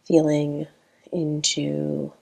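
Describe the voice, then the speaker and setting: Slow, low-voiced woman guiding meditation. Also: gravelly, slightly rough. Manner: calm, gentle, unhurried with long pauses. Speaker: meditation guide. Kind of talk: guided meditation narration.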